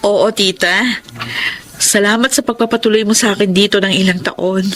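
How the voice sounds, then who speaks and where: A woman's voice: mid-range voice, steady tone, moderate pace, a bit bright, slight background noise, natural voice. A woman playing a driven, stubborn young saleswoman in a Filipino radio drama, giving short, matter-of-fact replies. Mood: grateful.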